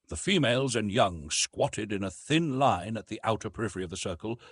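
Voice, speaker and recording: A man narrating a classic adventure novel as an audiobook, in a theatrical, measured and emphatic way. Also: mid-range voice, fairly bright tone, husky, dry recording.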